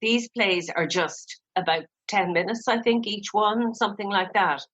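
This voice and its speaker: A woman's voice: medium pitch, unhurried, a bit bright. An Irish-accented woman chatting casually as an interview guest, in a chatty, rambling manner. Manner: chatty, peppered with "you know". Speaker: guest, playwright.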